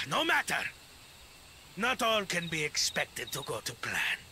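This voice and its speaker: A man's voice: a little high, wildly swooping pitch, slow pace, sharp tone, a little hiss or hum, full character voice. An actor playing a domineering, scornful father in a video game, with archaic, theatrical speech that is grandiose and cruel. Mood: silky, commanding. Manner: archaic, theatrical speech swinging from silky to raging.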